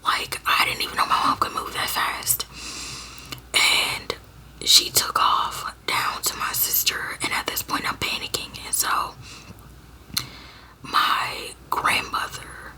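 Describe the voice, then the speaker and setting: Hushed whispering woman telling a story. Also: rough recording. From Georgia, USA. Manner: hushed whisper, halting with fillers and restarts. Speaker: storyteller vlogger.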